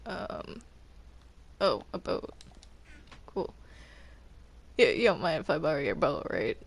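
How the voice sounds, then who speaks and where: A person chatting casually while roleplaying in a video game, with a halting delivery that often trails off. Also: medium pitch, sing-song, creaky, slightly rough. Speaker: Minecraft roleplay player.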